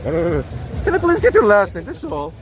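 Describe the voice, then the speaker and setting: High-voiced man pressing questions on the street. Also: huge pitch swings, unhurried, some chatter behind, a little hiss or hum. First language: not English. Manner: persistent, repeats demands politely but insistently. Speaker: citizen auditor filming police. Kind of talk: confrontational street recording. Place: outdoors on a busy city street.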